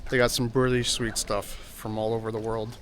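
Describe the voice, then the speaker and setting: A man's voice: on the low side, flat delivery, normal speed, fairly bright tone. A man talking casually and plainly as a travel vlogger, chatting off the cuff outdoors. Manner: laid-back, unscripted, plain-spoken. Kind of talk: casual vlog narration and chat. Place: outdoors in old town streets.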